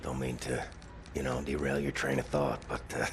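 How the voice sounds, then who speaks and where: An actor playing a weary, tough soldier in a video game, laconic and plain-spoken, with an American accent and gravelly voice. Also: male voice, rumbling low, level tone, moderate pace. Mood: wry, reassuring. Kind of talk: video game dramatic acting. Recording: music under the voice.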